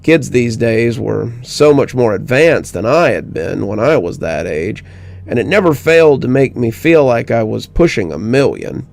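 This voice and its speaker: A man narrating a scripted first-person horror story in a measured storytelling manner. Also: mid-range voice, big pitch swings, gravelly, a little mellow.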